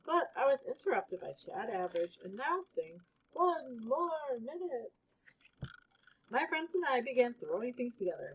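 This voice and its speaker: A woman reading a children's storybook aloud in an expressive, animated way, with casual asides. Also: medium pitch, dull audio, a little hiss or hum. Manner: animated, acts out exclamations and characters' lines. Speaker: storybook reader.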